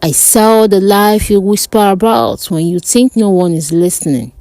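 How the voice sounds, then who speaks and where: A woman voicing an eerie, mocking sorceress who sells dreams in a dark folk tale, purring and cryptic. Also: mid-range voice, no echo, natural voice. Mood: seductive, coaxing. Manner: purring, slow, bored, cryptic.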